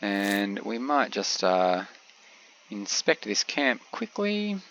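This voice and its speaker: A man with a cold, sounding a little congested, giving relaxed game commentary with frequent pauses. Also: on the low side, gravelly, highs cut off, a little hiss or hum. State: has a cold, slightly congested. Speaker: gameplay commentator.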